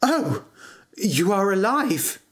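A woman's voice: mid-range voice, sing-song, slow, husky, voice pushed up, heavily acted. A woman voicing a timid, sensitive young prince in a storybook tale, with a hesitant and emotional manner. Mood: nervous, relieved.

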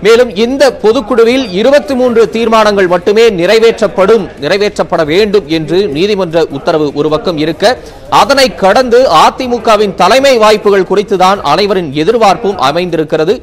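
A man with a fairly high voice narrates live breaking news as an anchor. He keeps a steady, formal delivery as he talks over footage from the scene. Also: moderate pace.

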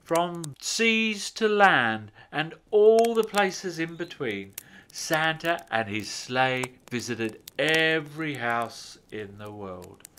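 Male children's story narrator: measured, expressive, slow delivery with lively intonation and lingering words. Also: mid-range voice, slight background noise.

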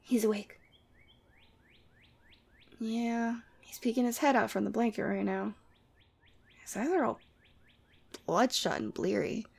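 Demon girlfriend played by a woman, ASMR audio drama: sweet but teasing, casual and playfully exasperated, very creaky delivery. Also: a little high, a little slow, pauses often, a little mellow, heavily acted. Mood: amused, gossiping.

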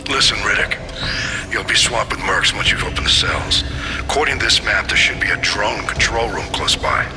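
A man's voice: lowish voice, animated, moderate pace, natural voice. A man playing a helpful technician ally in a video game, casual and practical. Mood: helpful, focused. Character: helpful male tech ally guiding by radio. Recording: music bed behind.

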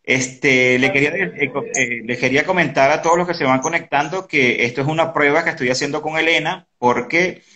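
A man in his early fifties hosting a casual interview on a video call, speaking haltingly and often repeating words. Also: on the high side, pushed and bright. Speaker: interview host. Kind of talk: casual conversational online interview. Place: indoor room at home. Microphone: video call, device close in front.

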